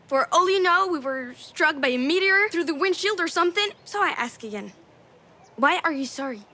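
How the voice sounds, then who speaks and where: A woman's voice: extremely high pitch, lively intonation, moderate pace, sharp tone, heavily acted. A woman playing an imagined young daughter in a narrative video game, knowing and pointedly questioning. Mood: teasing, probing. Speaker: voice actor in a visual novel game. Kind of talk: dramatic game dialogue.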